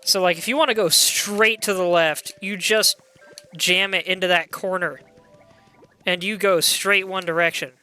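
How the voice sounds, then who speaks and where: A young adult game streamer with a loud voice, chatting casually and excitably while playing and greeting viewers. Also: mid-range voice, measured pace, soft background music. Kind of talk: casual live gameplay chat.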